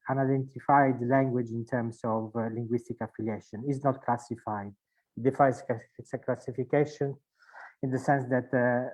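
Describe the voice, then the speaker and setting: A man from Sicily with an Italian accent, presenting his linguistic research in a webinar from his home, speaking haltingly with many fillers and restarts. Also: mid-range voice, a little mellow. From Sicily, Italy. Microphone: video call. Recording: dull lo-fi video call audio.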